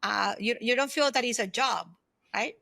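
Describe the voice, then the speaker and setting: Female, 50s, interview guest. Bright, forward voice, slightly high, dry close sound, earnest explainer. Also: normal speed, gravelly, dry recording. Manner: earnest, explanatory, often checks in with "right?". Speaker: podcast guest, consultant and former aerospace executive. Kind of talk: conversational interview answers.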